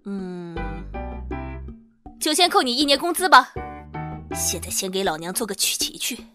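A woman's voice: medium pitch, very animated, normal speed, close to an everyday voice. A woman playing a bossy young shop boss in an audio drama, feisty, sassy and theatrical. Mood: smug. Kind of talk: Chinese audio drama acting. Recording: music behind the voice.

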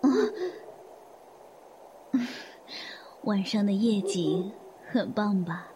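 A woman acting in a Chinese audio drama as a gracious, weary middle-aged hotel manager, soft-spoken, polite and unhurried. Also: mid-range voice, fairly even intonation, slight background noise, natural voice. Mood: friendly.

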